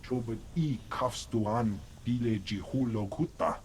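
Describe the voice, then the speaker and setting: A man's voice: mid-range voice, measured pace, darkish tone, slight background noise, lightly acted. A man acting a brusque alien who speaks an invented tongue in a video game.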